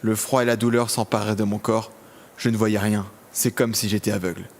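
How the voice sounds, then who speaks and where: An actor playing a lonely, vengeful imprisoned villain in a scripted audio drama, speaking in brooding, literary monologue. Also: male voice, on the low side, level tone, fairly quick, slight background noise, natural voice. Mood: haunted, sorrowful. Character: vengeful, lonely imprisoned villain, self-styled son of death.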